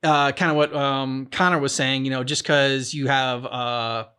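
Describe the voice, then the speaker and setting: A man's voice: mid-range voice, steady tone, unhurried, pushed and bright, clean tone. A man co-hosting a game reaction show, chatting casually, rambling and often repeating and restarting his phrases. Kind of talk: casual discussion of a game's story.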